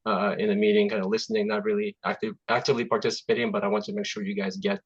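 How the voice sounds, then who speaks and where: A man in his forties whose first language is Russian, born in Azerbaijan, giving a relaxed, chatty talk as a guest software engineer over a video call, with many fillers and restarts. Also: lowish voice, very fast, husky, highs cut off. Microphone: computer mic on video call.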